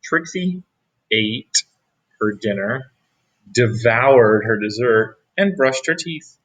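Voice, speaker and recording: A man reading a picture book aloud as a teacher, pausing for asides to young listeners. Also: mid-range voice, expressive, a bit bright, husky, low-quality recording. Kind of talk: read-aloud storytelling.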